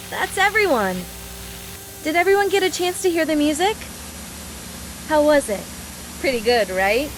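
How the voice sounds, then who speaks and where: A high-voiced, brash young woman soldier from a game drama. She is boisterous, affectionate and teasing, swinging widely in pitch over faint hiss. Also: low-quality recording.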